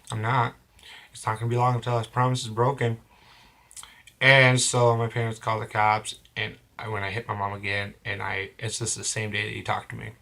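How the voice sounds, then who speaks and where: A young man with a hoarse, cold-roughened voice, telling a confessional story in a halting, rambling way full of restarts. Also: fairly low pitch, fairly bright tone. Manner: rambling, halting, frequent restarts and self-corrections. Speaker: vlogger. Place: at home.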